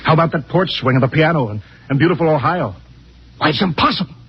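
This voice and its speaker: An actor playing a commanding, stubbornly logical spaceship captain who speaks with incredulity, in vintage radio drama style. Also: male voice, on the high side, quick pace, close to an everyday voice. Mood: incredulous. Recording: muffled, noisy old radio recording.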